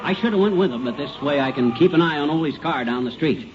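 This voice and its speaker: A folksy, blustering know-it-all husband played by a man with a fairly high voice. He is muddled yet cocky, in 1950s radio comedy style. The old recording is dull and noisy. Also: animated, fast, close to an everyday voice. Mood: folksy, wry. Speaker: actor, lead of a radio comedy.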